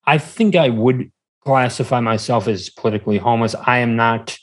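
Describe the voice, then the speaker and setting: Hesitant man, medium pitch. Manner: hesitant, searching for words, halting. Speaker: podcaster, interview guest. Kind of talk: casual conversational interview.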